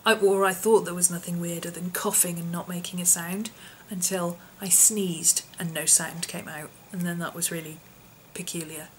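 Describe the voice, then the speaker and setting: A woman, her voice hoarse and husky from an infection, chatting casually in a vlog with lots of ums and small laughs. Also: lowish voice, fairly even intonation, moderate pace, few pauses, a little hiss or hum, rough recording. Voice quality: husky, often whispery. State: hoarse, voice partly lost from infection. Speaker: vlogger.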